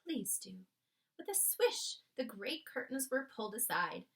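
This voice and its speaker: A woman's voice: on the high side, very animated, normal speed, bright, forceful tone. A woman reading a children's book aloud as narrator, with dramatic, emphatic storytelling. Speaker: storybook reader.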